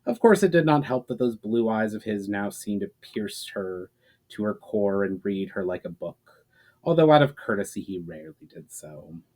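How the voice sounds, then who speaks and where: A man's voice: lowish voice, sing-song, normal speed, darkish tone. A man giving a dramatic reading of a fantasy story as narrator, now and then stumbling and correcting himself. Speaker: author reading own story aloud.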